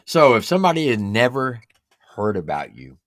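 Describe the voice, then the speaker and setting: A woman's voice: very deep voice, moderate pace, no room sound, studio quality. A woman hosting a casual live interview, asking questions with a playful, teasing manner. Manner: playful, teasing, lively reactions. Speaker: show host, interviewer.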